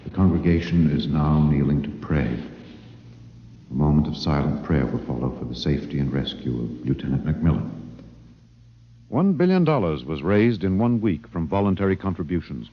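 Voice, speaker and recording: An actor playing a solemn male news reporter giving deliberate live commentary in a 1950s radio drama. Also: very deep voice, sing-song. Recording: muffled 1950s radio, echoey reverberant hall.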